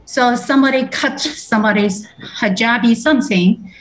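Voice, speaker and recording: A woman's voice: a little high, normal speed, low-quality recording. A woman from China in a focus group, speaking hesitantly with many fillers. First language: Chinese.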